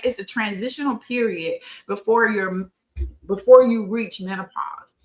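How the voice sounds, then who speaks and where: A woman's voice: mid-range voice, fairly bright tone, rough recording. The fifty-year-old woman you would hear hosting an evening women's health session, explaining things conversationally and driving points home with emphatic repeats. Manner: emphatic, conversational, repeats phrases for stress and checks in with "right?". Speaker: host of a women's health talk show. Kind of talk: informal educational talk with personal anecdotes.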